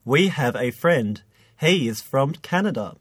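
A man reads scripted test items for language learners at a very unhurried pace. His pitch is average and his enunciation careful. The sound is dry, without room echo. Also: animated, husky, soft background music. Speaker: English listening test reader. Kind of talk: scripted language-lesson reading, each item repeated.

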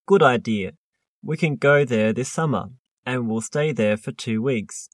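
A man reading the part of a friendly father in a scripted textbook dialogue, with clear, plain delivery. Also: mid-range voice, a little slow, dry recording, clean studio sound, natural voice. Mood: kind. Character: friendly middle-aged father. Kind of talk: scripted listening-test dialogue.

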